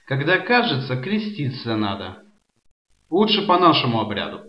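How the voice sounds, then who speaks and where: A man's voice: mid-range voice, very animated, normal speed, close to an everyday voice. A man playing an earnest, sanctimonious cult follower as a preachy guest in a comedy radio sketch. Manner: solemn, preachy. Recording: roomy, dull lo-fi sound.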